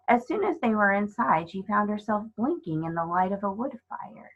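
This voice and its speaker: A woman narrating a children's storybook as a reader, in an expressive scripted style. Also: mid-range voice, breathy edge, narrow, tinny audio.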